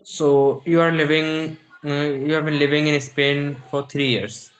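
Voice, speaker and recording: A man's voice: fairly high pitch, little pitch movement, a little slow, reverberant, rough recording. A young adult man, not a native English speaker, chatting casually as a learner and searching for words. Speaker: English learner in online lesson. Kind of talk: casual chat, practising English.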